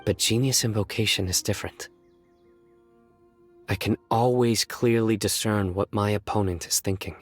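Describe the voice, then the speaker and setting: Guy acting a serious young game lawman, kinda low voice, dry n earnest delivery, some music playing under it. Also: lightly acted. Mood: calm, serious. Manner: deadpan, earnest, measured. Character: serious, stoic young male lawman who earnestly tells bad jokes. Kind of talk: video game character voice acting.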